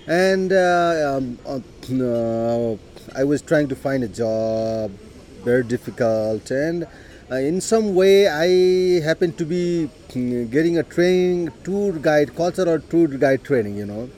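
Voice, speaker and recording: A middle-aged man with a Nepali accent, telling his life story in an unhurried way, with frequent "you know" and throat clearing. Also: on the high side, animated, warm tone. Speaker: interviewee. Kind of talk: personal life story told in conversation.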